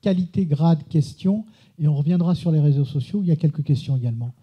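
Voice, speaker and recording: A man's voice: a little high, fast, slightly rough. A man moderating a conference, handing over in short, clipped phrases, on a muffled recording. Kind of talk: brisk event moderation. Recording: dull, muffled sound.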